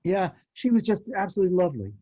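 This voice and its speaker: Older male panel guest, mellow and slightly higher voiced, casual halting reminiscence, muffled online-call sound. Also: sing-song. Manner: halting, rambling storytelling. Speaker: panel guest, former child radio actor. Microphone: video call.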